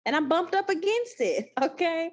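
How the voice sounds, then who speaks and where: Animated woman with a thick Texas accent. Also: very high voice, normal speed. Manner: animated, emphatic, laughs often. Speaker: guest, new scholar. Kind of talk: casual podcast conversation.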